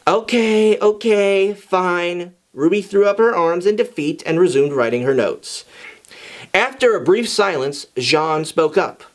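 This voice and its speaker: Man with a slightly high voice reading aloud. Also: animated, normal speed. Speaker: fan fiction reader and channel host. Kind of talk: informal scripted story narration.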